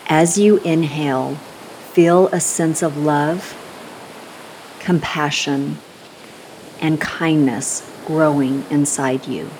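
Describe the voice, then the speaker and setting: A woman guiding a scripted meditation in a soothing, unhurried manner, giving gentle instructions with long pauses. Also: deep voice, steady tone, a little hiss or hum, rough recording. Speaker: meditation guide.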